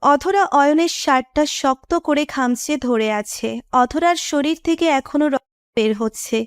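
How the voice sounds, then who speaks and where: A woman's voice: sits high, moderate pace, dry recording, studio quality. A woman narrator reading a scripted romantic story.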